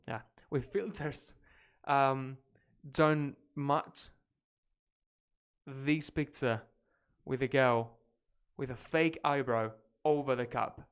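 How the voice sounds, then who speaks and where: Energetic Spanish man teaching English. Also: medium pitch, takes it slowly, long pauses, smooth. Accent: British-leaning English. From Spain. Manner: energetic, chatty, reacting to clips. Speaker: English teacher streaming live. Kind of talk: live-stream lesson, switching between English and Spanish.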